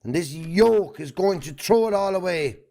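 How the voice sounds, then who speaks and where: A man's voice: high voice, lively intonation, normal speed, gravelly, dark tone. An Irish man acting a bitter, bullying middle-aged farmer in a stage drama, blunt and combative. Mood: outraged, threatening.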